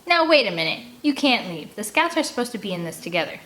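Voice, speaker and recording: A woman's voice: mid-range voice, big pitch swings, fast, a bit bright. A woman voicing a feisty, defensive young girl scout with an indignant manner in a storybook read-aloud.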